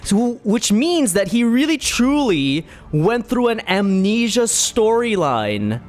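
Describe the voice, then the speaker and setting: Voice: medium pitch, very animated, slow pace. A game streamer giving casual playthrough commentary in a playful, theatrical way, dropping into sing-song asides.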